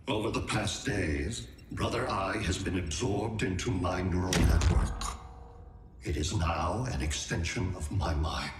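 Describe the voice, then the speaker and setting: An actor playing a calm, menacing alien male conqueror who collects worlds, speaking in a measured, clinical way in a video game cutscene. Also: sits low. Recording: echoey game mix with loud music.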